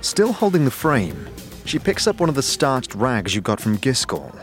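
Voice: medium pitch, wildly swooping pitch, normal speed, faint music behind. A narrator reading scripted second-person fantasy narration for an audio drama.

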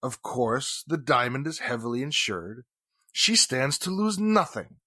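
A man playing a formal, indignant businessman and bitter ex-husband in 1940s radio drama style, with deliberate, emphatic phrasing. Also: medium pitch, very animated, bright, forceful tone, breathy edge, no room sound, clean studio sound, heavily acted. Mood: indignant, accusing.

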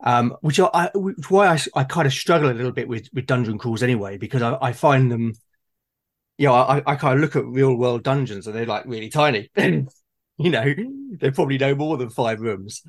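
A man with a medium-pitched voice talks in a conversational way. He is hesitant, circling back and repeating words while he works out his thought. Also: a little fast. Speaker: tabletop gaming podcast speaker. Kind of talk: casual conversational discussion.

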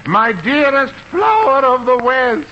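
A muffled, noisy old radio comedy recording of a persistent suitor. He speaks slowly and formally, with florid courtliness and a threatening edge, in a high-pitched male voice. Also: big pitch swings, exaggerated voice. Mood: courtly, insistent. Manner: formal, florid, deliberate phrasing. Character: lovestruck, menacing Middle Eastern suitor, courtly and persistent. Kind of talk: 1950 radio sitcom acting.

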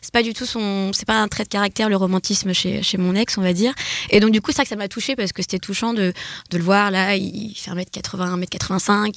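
A woman's voice: medium pitch, dry recording. Hesitant young woman telling her story. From Portuguese heritage, bilingual. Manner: hesitant, many fillers and restarts. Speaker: guest. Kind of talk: personal storytelling in conversation.

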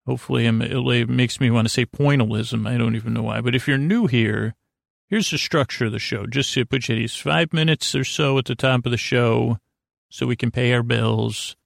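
Male sleep podcast host: gravelly creak, dry sound, halting goofy meanders, self-deprecating and non-threatening. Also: mid-range voice, no room sound. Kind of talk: rambling bedtime sleep podcast monologue.

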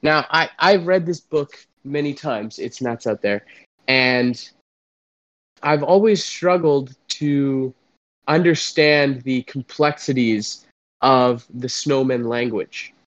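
A young Canadian man co-hosting a podcast from home, speaking slowly and solemnly in a deadpan tone. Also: mid-range voice. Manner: slow, drawn-out, solemn. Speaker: podcast co-host. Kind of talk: deadpan mock-serious comedy chat. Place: home, remote recording. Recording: dull, dry, lo-fi sound.

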